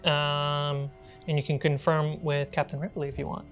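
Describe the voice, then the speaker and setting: A woman's voice: low-pitched, level tone, normal speed, soft background music. A woman working as game master, narrating a tabletop role-playing game in an informal way.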